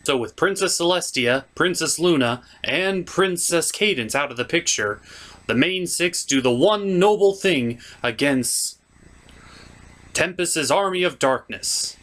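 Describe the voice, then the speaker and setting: A man's voice: on the high side, animated, unhurried, fairly bright tone. A man narrating a comic movie recap for a puppet review show in a casual, sarcastic manner.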